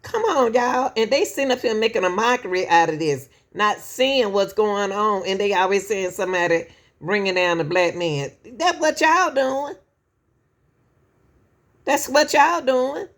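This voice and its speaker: A woman hosts her own commentary channel and talks slowly and deliberately, like she is preaching a point. She keeps turning to the viewers with "y'all" and repeats herself for emphasis. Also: mid-range voice, animated, a bit bright. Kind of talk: casual opinion commentary.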